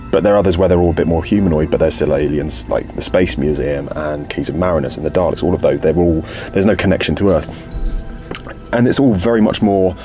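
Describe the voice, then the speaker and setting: Writer guy w really deep voice rambling thru an interview, self-correcting, faint music behind. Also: a little mellow. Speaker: scriptwriter. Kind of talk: behind-the-scenes interview talk.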